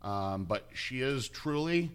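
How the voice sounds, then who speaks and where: A man's voice: medium pitch, animated, slow, clear, resonant tone. A man hosting a casual interview, upbeat and chatty with frequent restarts. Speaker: host.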